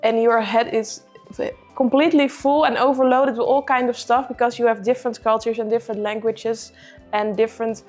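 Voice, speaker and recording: A woman with a fairly high voice explains earnestly and emphatically. Soft music plays behind her. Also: fairly even intonation, fairly quick.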